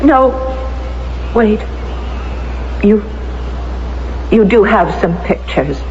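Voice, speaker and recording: An actress playing an anguished, defiant middle-aged widow in a radio drama, speaking in a halting, emotional, melodramatic way. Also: female voice, medium pitch, expressive, a little mellow. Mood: defiant, distressed. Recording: very noisy, dull radio recording.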